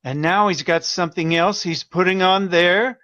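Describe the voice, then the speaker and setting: A man's voice: high voice, moderate pace, pushed and bright, no echo, highs cut off. A man playing a flustered, self-important and oblivious interviewer in a comic audio drama, fussy and earnest in delivery. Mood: earnest narrating.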